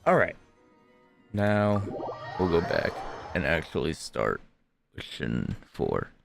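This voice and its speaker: A man offering laid-back commentary as he plays a video game, speaking slowly in a low voice with loose, mumbled asides. Game music runs clearly behind him. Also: very animated. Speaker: gaming streamer. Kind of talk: casual gameplay commentary.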